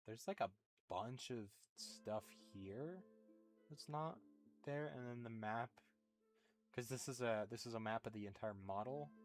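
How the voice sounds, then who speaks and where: A man's voice: mid-range voice, a little slow, soft background music. A man giving casual, rambling gameplay commentary with bemused reactions as he streams a video game.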